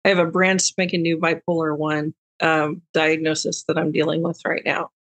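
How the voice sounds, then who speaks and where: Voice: mid-range voice, level tone, moderate pace, fairly bright tone. A podcast co-host talking candidly and casually, with frequent "um"s and offhand swearing. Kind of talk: casual chat.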